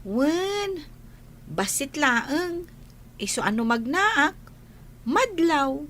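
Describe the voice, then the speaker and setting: A kind Ilocano girl in a radio drama. She talks very slowly in a fairly high voice, with a patient, caring manner. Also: big pitch swings, a bit bright, heavily acted. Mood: gentle.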